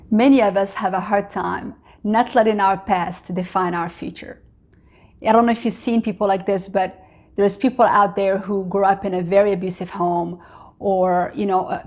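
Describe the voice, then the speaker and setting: A woman's voice: mid-range voice, darkish tone. Earnest Moroccan-born woman vlogger. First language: not English. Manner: earnest, open, many fillers like "you know" and "uh". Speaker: vlogger host. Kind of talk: personal motivational talk to camera.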